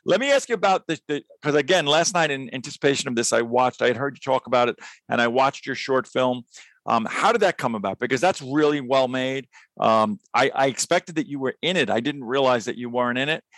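Halting, eager interviewer man, dry sound. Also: mid-range voice, fairly bright tone, dry recording. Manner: enthusiastic, halting with many restarts. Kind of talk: casual interview chat.

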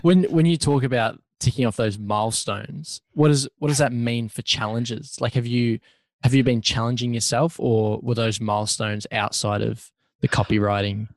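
A man hosting a podcast, asking quiet, hesitant interview questions. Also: medium pitch, steady tone, moderate pace, few pauses, no room sound. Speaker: podcast host.

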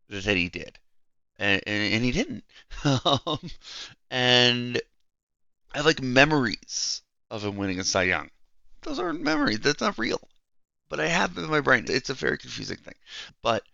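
A man's voice: fairly low pitch, gravelly, bright, forceful tone, dry recording, dull audio. A man hosting a solo podcast while he has a head cold, so he sounds a little nasal and froggy, talking conversationally and animatedly with some restarts. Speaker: podcast host.

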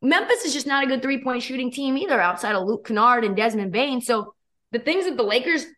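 A young woman guest with a hoarse, worn voice, talking sports and betting in a quick, energetic, opinionated way. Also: fairly high pitch. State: losing her voice. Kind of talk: casual sports chat.

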